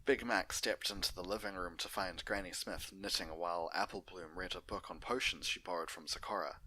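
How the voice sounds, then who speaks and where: A man with a low voice and a bright, forceful edge reads a story aloud. He works as both narrator and host, presenting fan fiction in an unedited take. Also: a little fast, a little hiss or hum, rough recording.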